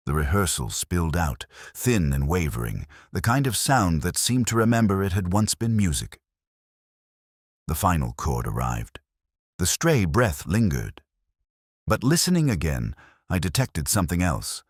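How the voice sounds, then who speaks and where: A man with an exceptionally deep voice narrates a detective story in the first person. His delivery is measured and brooding, yet the pitch moves expressively. The sound is dry, with very little room to it. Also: no room sound.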